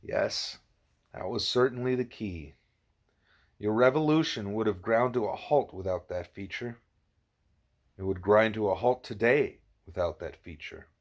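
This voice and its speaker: Man voicing a wise, patient gorilla teacher. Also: fairly low pitch. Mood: patient, instructive. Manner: measured, didactic, explanatory.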